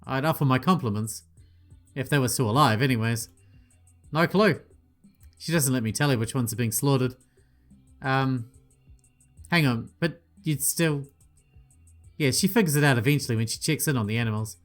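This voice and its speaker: A man's voice: medium pitch, moderate pace, pauses often, faint music behind. A man playing through a visual novel, reading every part aloud with playful asides and the odd swear. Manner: playful, swearing asides, voices each character. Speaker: gaming channel host.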